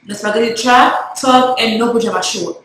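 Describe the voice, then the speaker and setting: A woman slowly and firmly reading out dress rules for a school event. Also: fairly high pitch, a bit bright, a little hiss or hum. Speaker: announcer. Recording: distant mic in a very echoey room.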